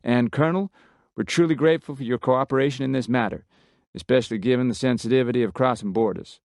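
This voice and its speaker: A man voicing a brisk male American commander with a Texan drawl, giving a loud, commanding briefing. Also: mid-range voice, creaky, dry recording, studio quality, pitched above the performer's own voice, close to an everyday voice. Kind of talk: audiobook character voice.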